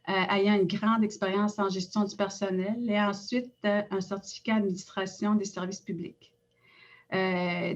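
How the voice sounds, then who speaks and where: A middle-aged Innu woman with a Quebec French accent, reading a prepared personal account in a measured way with frequent hesitations. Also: mid-range voice, lo-fi sound. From Innu community in Quebec. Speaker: director of an Innu cultural institute.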